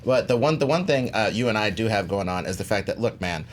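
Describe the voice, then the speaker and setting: A man's voice: on the low side, lively intonation, fast, slight background noise. A man debating informally as a contestant, slangy and emphatic, repeating phrases for punch. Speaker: debate contestant on a movie debate show. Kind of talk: informal pop-culture debate.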